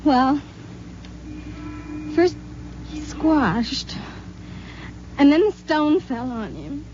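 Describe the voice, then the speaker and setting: An actress playing a shaken, distraught young nursemaid in a 1950s radio drama. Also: female voice, sits high, big pitch swings, very slow, darkish tone, exaggerated voice. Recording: muffled old radio recording, faint noise.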